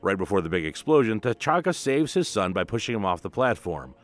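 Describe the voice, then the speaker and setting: A man hosts a comic book recap with a low voice and very lively intonation. He is informal and emphatic, telling the story dramatically over faint background music. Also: a little fast, no echo. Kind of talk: scripted story recap and commentary.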